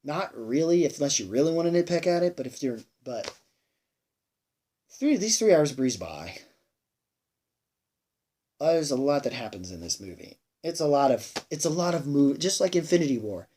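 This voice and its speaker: A man giving a casual, unscripted movie review, rambling and halting, repeating himself emphatically and sounding a bit tired. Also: on the high side, sing-song, mellow. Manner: sweary, emphatic. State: tired, sighing.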